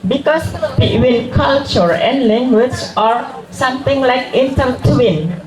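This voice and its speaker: A woman's voice: high voice, lively intonation, slow, roomy sound, a crowd in the background, poor audio. A woman who grew up speaking English as a guest speaker at a school, explaining carefully with repeated examples. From Turkey. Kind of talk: school language talk. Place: school hall.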